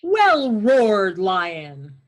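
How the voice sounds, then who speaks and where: A woman's voice: mid-range voice, huge pitch swings, drawn-out words, full character voice. A woman playing a teasing, quibbling noble onlooker in an amateur Shakespeare table read, playfully mocking over a video call. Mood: mock approval. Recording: home video call recording.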